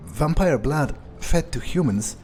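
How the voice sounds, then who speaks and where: A man role-playing a sardonic male vampire who thinks aloud in a halting, musing way during a game playthrough. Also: a little high, animated, darkish tone, a little hiss or hum. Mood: puzzled. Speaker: gamer role-playing a character. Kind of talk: in-character improvised game playthrough monologue.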